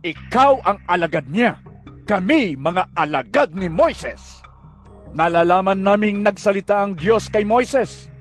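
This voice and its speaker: A man playing a stern, accusing religious leader, emphatic and drawn-out, in an audio drama. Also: high voice, expressive, faint music behind. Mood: scornful. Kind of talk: Tagalog audio Bible drama.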